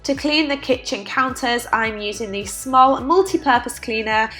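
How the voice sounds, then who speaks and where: A woman's voice: on the high side, moderate pace, loud music behind the voice. Chatty woman with a bunged-up cold. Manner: chatty, with frequent "like" fillers. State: congested from a cold. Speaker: cleaning vlogger. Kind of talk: casual voice-over narration.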